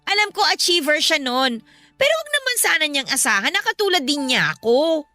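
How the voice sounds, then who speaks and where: A woman's voice: high-pitched, sing-song, a little fast, pushed and bright, no echo, soft background music, heavily acted. A woman with a Filipino accent playing a frustrated, plaintive eighteen-year-old daughter in a radio drama. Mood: hurt, complaining. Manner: plaintive, complaining.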